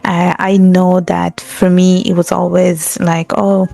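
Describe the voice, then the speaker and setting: A woman's voice: on the low side, flat delivery, slow pace, creaky, warm tone. A woman hosting a podcast interview, talking in a conversational, hesitant way with fillers. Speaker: podcast host.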